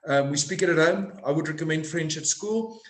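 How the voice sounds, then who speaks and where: A man's voice: fairly high pitch, echoey room. A middle-aged South African man whose first language is Afrikaans, giving eager advice from a home office over a video call. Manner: eager, quick to interject. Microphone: laptop webcam, video call.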